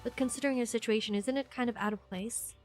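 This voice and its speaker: A congested woman voicing an aloof, elegant teenage girl, reading her lines coolly and quietly. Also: fairly high pitch, steady tone, fast talker, soft background music, close to an everyday voice.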